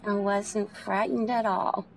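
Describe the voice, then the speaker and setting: An actress playing a polite, serene and eccentric taxi passenger, gentle and hesitant, in old-time radio style. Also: female voice, medium pitch, little pitch movement, slow, gravelly, a little hiss or hum.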